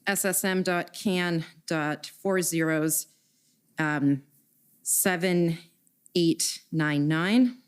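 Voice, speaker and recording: Woman, lawyer at a hearing, lowish voice, measured, procedural questioning, reads document numbers. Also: clear, resonant tone. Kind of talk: formal hearing examination. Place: hearing room.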